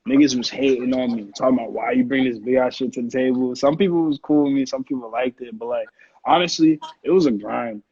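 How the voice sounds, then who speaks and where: Husky young American man, roomy sound. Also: mid-range voice, fairly quick, a little mellow. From Connecticut, United States. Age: 20s. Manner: slangy, frequent profanity. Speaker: interview guest, music producer. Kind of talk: casual interview chat. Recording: dull, roomy lo-fi call audio.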